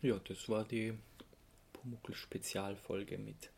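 A man co-hosting a casual podcast, speaking in a halting, hesitant way. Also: on the low side, flat delivery, vocal fry, a little mellow, slight background noise. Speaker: podcast co-host.

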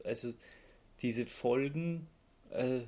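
Male podcast host, Austrian accent, conversational explainer, halting delivery with an amused edge. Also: mid-range voice, dark tone. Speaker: podcast host presenting research. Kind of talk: casual podcast chat, explaining research.

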